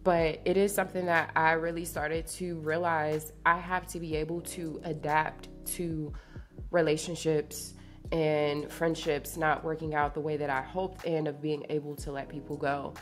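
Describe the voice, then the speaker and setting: A woman's voice: low-pitched, level tone, measured pace, faint music behind. A woman in her late twenties with a congested sound, chatting casually and confidingly as a solo podcast host in slangy, informal language. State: congested from allergies. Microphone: podcast microphone at a desk.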